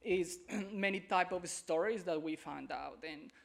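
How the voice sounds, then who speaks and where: Colombian man speaking, gravelly and halting. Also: high voice, a bit bright. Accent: Colombian Spanish. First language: Spanish. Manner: halting, searching for words, repeats himself. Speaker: conference speaker. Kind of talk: conference presentation. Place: conference hall.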